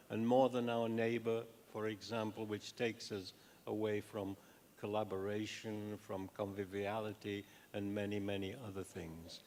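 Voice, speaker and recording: An older man, a professor, gives an academic talk and answers questions in a measured, halting, deliberate way. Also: fairly low pitch, darkish tone. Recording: echoey hall with long reverb.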